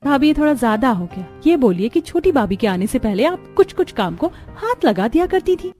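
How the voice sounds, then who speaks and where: A woman's voice: high voice, very animated, moderate pace, dark tone, faint music behind. A woman voicing a frank, teasing young sister-in-law in a cartoon.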